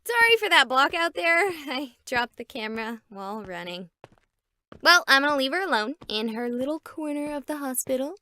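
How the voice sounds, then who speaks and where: A woman playing an excitable, chatty young streamer exploring a haunted place in a visual novel, with an expressive, playful delivery. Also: high-pitched, moderate pace, dry recording, exaggerated voice. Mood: excited, chatty.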